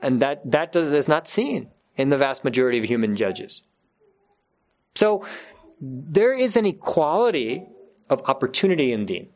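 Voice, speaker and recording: A man's voice: medium pitch, big pitch swings, normal speed. A man giving an unscripted religious talk to his congregation, earnest and emphatic, repeating key phrases for weight.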